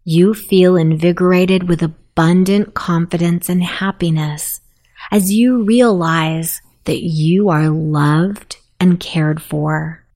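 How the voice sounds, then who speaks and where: A woman narrating a guided sleep meditation in a soothing, softly spoken, unhurried manner. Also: low-pitched.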